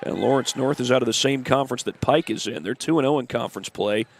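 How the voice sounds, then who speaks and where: Brief: male play-by-play announcer, stuffy and congested, gravelly edge, courtside in a gym with faint noise behind. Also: mid-range voice, fast. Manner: steady, informative play-by-play with stat and schedule asides. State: congested from the flu. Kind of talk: high school basketball commentary. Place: high school gym. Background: faint gym ambience, ball bouncing.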